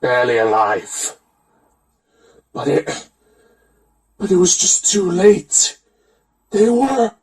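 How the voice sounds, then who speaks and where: A man's voice: high voice, sing-song, takes it slowly, long pauses, recorded from a distance. A man performing theatrical character voices in a voice acting reel, switching from one to another. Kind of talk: voice acting demo reel of game and cartoon character impressions.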